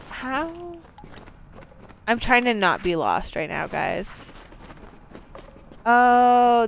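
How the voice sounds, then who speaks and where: A woman's voice: medium pitch, a little hiss or hum. Chatty rambling woman streamer. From Maine. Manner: rambling, chatty, lots of fillers and swearing. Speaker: game streamer. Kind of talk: casual let's-play chat.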